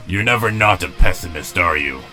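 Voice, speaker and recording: An actor playing a weary, stoic robot commander, solemn and commanding, in a dramatic comic dub. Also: male voice, deep voice, animated, brisk, over music. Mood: firm, commanding. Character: weary, stoic male robot commander, grave and self-doubting.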